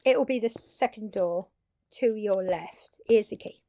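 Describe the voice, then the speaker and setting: A woman playing a brash, excitable undead vampire in an audio drama, talking casually and expressively straight to the listener with salty language and dramatic pauses. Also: mid-range voice, lightly acted. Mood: casual, upbeat. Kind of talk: intimate audio drama roleplay addressed to listener.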